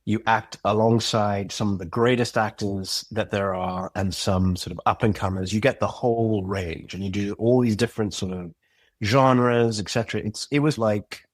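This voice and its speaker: A man's voice: fairly low pitch, fairly even intonation, normal speed, no echo, clean studio sound. A man speaking as a guest in a podcast interview, talking things through reflectively and thinking aloud as he goes. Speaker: podcast guest, audio drama creator. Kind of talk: casual conversational interview.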